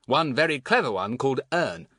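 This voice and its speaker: A man's voice: medium pitch, sing-song, brisk, sharp tone, slightly rough, no echo, voice pushed up, full character voice. A man voicing a clever, boastful schoolboy in a children's audiobook, chatting with self-satisfied relish. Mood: gleeful, boastful.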